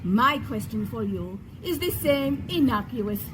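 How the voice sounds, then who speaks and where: A woman acting a grandiose announcer in a stage comedy, chirpy and ceremonious. Her pitch is high, over a noisy live recording. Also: animated, unhurried. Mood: mock earnest. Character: grandiose, chirpy female announcer at overblown events.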